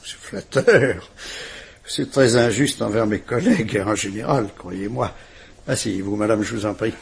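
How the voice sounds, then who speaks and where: An actor playing a patient, courteous police inspector who speaks in a calm, dry way. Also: male voice, on the low side, measured pace, creaky, husky, exaggerated voice. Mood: courteous, probing. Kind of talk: 1950s French radio drama acting.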